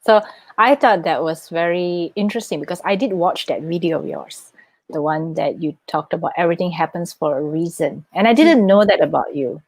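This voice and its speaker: A woman's voice: fairly low pitch, normal speed, no echo. A woman hosting an interview, chatty and encouraging as she asks her guest questions. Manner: chatty, encouraging, laughs easily. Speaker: host. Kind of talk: live-streamed interview.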